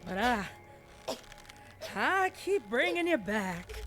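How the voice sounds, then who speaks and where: A woman's voice: on the high side, big pitch swings, slow pace, over music, voice pushed up. A woman voicing a deranged, paranoid country farm pony with a rural Southern American accent, rambling and stammering with vengeful menace in a horror story reading. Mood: menacing, taunting. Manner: rambling and stammering, snapping into angry shouts. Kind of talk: dramatic horror fan fiction reading.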